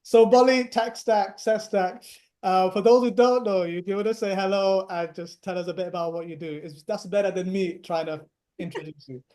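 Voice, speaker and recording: A man hosting an online chat in a somewhat high but mellow voice. He stumbles over his words, repeating himself, and keeps the tone light and joking. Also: steady tone, normal speed. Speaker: host. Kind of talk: hosting a webinar fireside chat.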